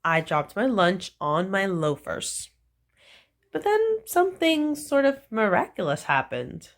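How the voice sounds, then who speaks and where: A woman reading a children's picture book aloud, with slow, playful storytelling and animated emphasis. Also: mid-range voice.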